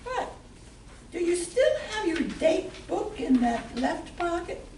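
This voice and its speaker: A woman's voice: very high voice, big pitch swings, slow pace, darkish tone, heavily acted. A woman playing a nosy, cheeky friend in an amateur comic radio play. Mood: cheeky concern. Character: nosy, cheeky female friend in bar comedy. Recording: distant echoey room, faint noise.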